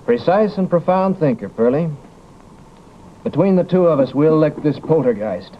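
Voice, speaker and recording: A testy, self-important doctor of science from old-time radio, played by a male actor. His voice sits fairly high and he talks in clipped, theatrical phrases. The recording is dull with faint noise. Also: lively intonation, normal speed, natural voice. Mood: musing. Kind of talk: old-time radio comedy-drama acting.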